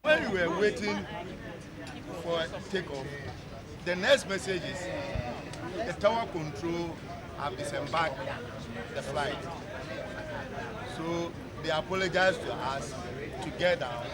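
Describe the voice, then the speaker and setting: A man's voice: on the high side, unhurried, pauses often. A man, an aggrieved passenger giving an account in an interview. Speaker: airline passenger. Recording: loud crowd outdoors.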